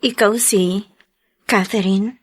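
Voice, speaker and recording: An actress playing a wronged young wife who pleads meekly and grows tearful, in a radio drama. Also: female voice, mid-range voice, fairly even intonation, takes it slowly, no echo, studio quality. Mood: pleading, meek. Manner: meek and pleading, turning tearful.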